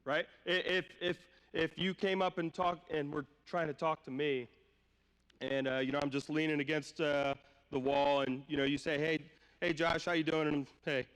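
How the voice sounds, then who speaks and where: A man preaches in a fairly high voice that rings through a big, echoing hall. He speaks informally, like a conversation with the congregation, putting rhetorical questions to them. A lingering cough leaves his voice slightly off. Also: level tone, moderate pace, fairly bright tone. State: slight cough, voice a little off from a cold. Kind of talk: conversational sermon. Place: church hall during a live-streamed service. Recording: long hall reverb, live church stream.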